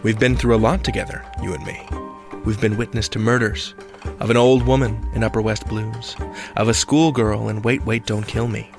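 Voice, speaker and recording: A man's voice: lowish voice, little pitch movement, normal speed, creaky. A man hosting a podcast in a scripted yet conversational style, with a playful, theatrical and wry delivery. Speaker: podcast host. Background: music.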